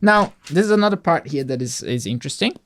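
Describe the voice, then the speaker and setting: A man's voice: a little high, animated, fast talker, fairly bright tone. A man talking casually with a young child about a Bible passage, explaining simply and often asking check-in questions. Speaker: host, parent teaching a child a Bible passage.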